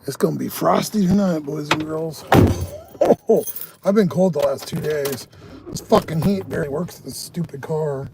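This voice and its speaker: A man's voice: on the high side, moderate pace, creaky, heavy background noise. A man on a casual live stream, chatting with viewers from his car and swearing often. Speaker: live streamer doing delivery driving. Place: inside a car.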